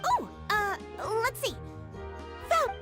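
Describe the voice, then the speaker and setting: A cheerful, forgetful little girl from a video game, speaks in a very high, swooping voice. She is innocent and a bit hesitant, with simple childlike phrasing, over loud music. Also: very animated, slow, heavily acted. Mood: puzzled, innocent.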